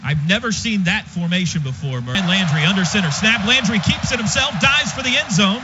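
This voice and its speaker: An American man calling live football on the radio in clipped, rapid-fire phrases, with an excitable delivery that rises on big plays. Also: high voice, over loud music. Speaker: play-by-play announcer. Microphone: broadcast booth microphone. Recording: dull lo-fi broadcast, loud stadium noise.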